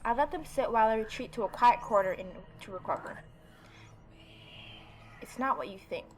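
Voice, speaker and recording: A woman let's player narrating a visual novel and adding playful, expressive casual commentary. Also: fairly high pitch, normal speed, low-quality recording. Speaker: let's player reading and reacting.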